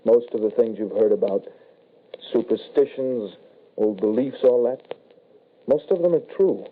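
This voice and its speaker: Through the thin, narrow sound of a vintage radio horror play comes an undead shapeshifter acted by a man, a vampire son with a mid-pitched voice. He is coolly menacing, offering apologies as plainly as he speaks of dreadful things. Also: a little slow, a little hiss or hum, natural voice. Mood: calm reproach. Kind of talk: old-time radio horror acting. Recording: tinny, band-limited vintage radio broadcast.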